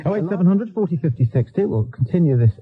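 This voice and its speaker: A man's voice: sits high, lively intonation, quick pace, slightly rough, phone-quality sound. A man hosting a live radio phone-in in a dry, wry, instructive way. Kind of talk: live talk radio chat. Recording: thin, band-limited radio recording.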